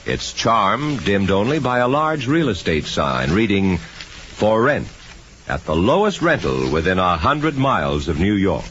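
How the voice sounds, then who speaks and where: A man's voice: on the low side, sing-song, unhurried, a bit bright, slight background noise. A man announcing and narrating in a dramatic, theatrical 1940s radio style. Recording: dull old radio recording with music.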